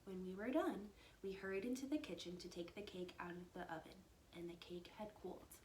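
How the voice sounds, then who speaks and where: A woman reading a children's storybook aloud like a teacher, animated and dramatic, with hushed asides to her young listeners. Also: medium pitch, fairly quick. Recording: roomy echo with faint background noise.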